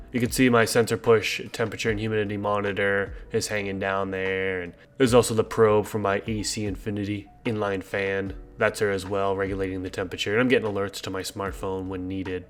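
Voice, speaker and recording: A man with a congested voice, chatting casually and upbeat as he hosts a gardening video and asks viewers for feedback. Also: fairly low pitch. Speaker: gardening channel host.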